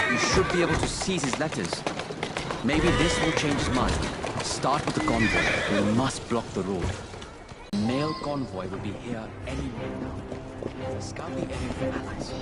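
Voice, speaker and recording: A man's voice: mid-range voice, natural voice. An actor playing an earnest, composed male assassin, speaking formally and measuredly. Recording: loud music behind the voice.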